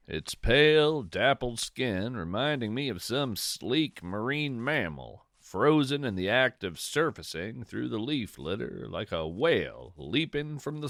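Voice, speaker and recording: Unhurried male storyteller with expressive, varied intonation. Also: mid-range voice, dry recording, studio quality. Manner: measured, musing, conversational asides; literary, descriptive phrasing. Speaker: podcast host and storyteller. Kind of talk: scripted first-person narration of cozy folklore fiction.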